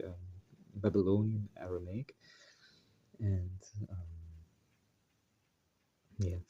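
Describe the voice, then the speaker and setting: Deep-voiced man, halting and full of fillers. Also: warm tone, husky, dull audio. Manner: hesitant, full of fillers and self-corrections; deliberately putting on an American accent. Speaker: channel host. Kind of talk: casual spoken introduction to a text study.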